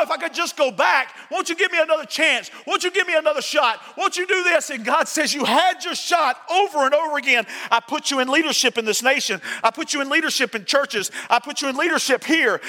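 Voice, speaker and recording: High-pitched fiery preacher in a hall. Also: male voice, bright, forceful tone. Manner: repetitive rhetorical questions, impassioned, confrontational. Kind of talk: fiery live sermon to a congregation. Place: large indoor hall with a congregation. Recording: reverberant large hall.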